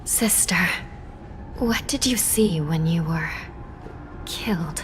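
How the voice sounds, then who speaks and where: A woman playing a composed, dutiful official in a video game, measured and serious with a weary edge. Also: fairly low pitch, soft background music.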